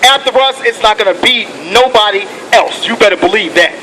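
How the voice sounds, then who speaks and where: A high-voiced man preaches on a busy city street, fiery and profane. He keeps pushing his listeners with "okay" and "come on". Traffic rumbles loudly around him. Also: fairly quick, rough recording. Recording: loud street noise outdoors.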